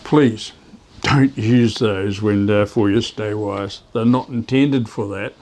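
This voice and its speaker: A man giving a casual hands-on how-to as a hobbyist, talking haltingly as he works with his hands. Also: on the low side, steady tone, a little mellow. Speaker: ham radio hobbyist presenting DIY tips.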